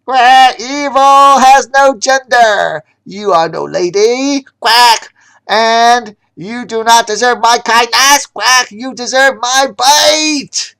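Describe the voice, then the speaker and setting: A storyteller voicing a proud male duck who calls himself a gentleman, quacking between his words and declaiming. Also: mid-range voice, takes it slowly, creaky, smooth, voice pushed up, exaggerated voice. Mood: defiant.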